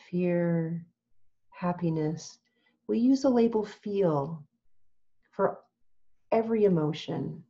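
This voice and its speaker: A woman teaching meditation in a calm, gentle, measured way, leaving long pauses as she gives instructions. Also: fairly low pitch, muffled recording.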